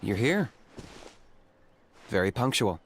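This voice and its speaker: Low-voiced, reserved young warrior. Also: male voice, big pitch swings, background noise, full character voice. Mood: calm, serious. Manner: measured, serious. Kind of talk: video game voice acting.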